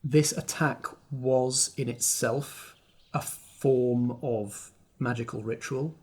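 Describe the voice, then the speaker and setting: A man narrates a tabletop roleplaying game as game master. He speaks slowly in a husky, mid-pitched voice, with descriptive storyteller phrasing. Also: fairly even intonation, darkish tone.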